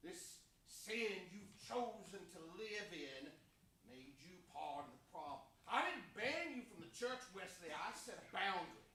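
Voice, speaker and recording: A man playing a stern, self-righteous church pastor in a staged reading, speaking in an emphatic, deliberate, preachy way. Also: sits high, big pitch swings, sharp tone, exaggerated voice. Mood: stern, condemning. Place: large echoey room, live staged reading. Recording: echoey hall, distant mic, faint noise.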